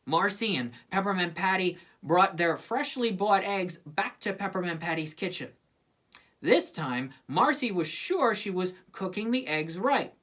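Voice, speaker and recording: A woman narrating a children's storybook in an expressive scripted reading style. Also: on the low side, unhurried. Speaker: storybook reader.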